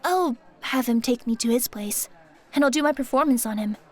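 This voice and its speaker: Woman as high-voiced crafty undercover singer. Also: moderate pace, lightly acted. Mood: matter-of-fact, scheming. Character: poised, crafty young female undercover singer. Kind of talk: audio drama acting.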